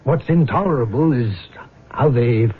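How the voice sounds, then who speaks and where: An actor in a 1970s radio drama playing a weary, secretive middle-aged husband, bitter and hesitant, with a husky voice. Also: male voice, medium pitch, phone-quality sound, close to an everyday voice. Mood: dejected. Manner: hesitant, evasive, halting. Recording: band-limited vintage radio broadcast.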